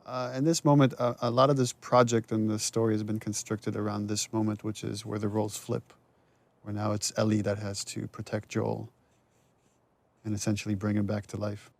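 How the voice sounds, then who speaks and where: A man's voice: lowish voice, flat delivery, normal speed, creaky. A man who wrote and directed the game, explaining story decisions in a thoughtful commentary chat with frequent fillers.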